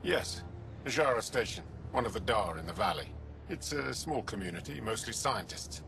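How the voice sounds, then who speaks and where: An actor playing a matter-of-fact alien lookout soldier in a video game, with a blunt, dry manner. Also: male voice, mid-range voice, expressive, moderate pace. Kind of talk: dramatic game dialogue. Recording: clear noisy outdoor ambience behind.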